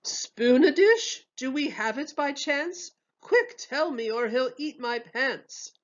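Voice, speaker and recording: A woman voicing a fussy, flustered male headwaiter in a children's storybook, bossy and exclaiming in drawn-out words. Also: high-pitched, animated, muffled recording, pitched above the performer's own voice.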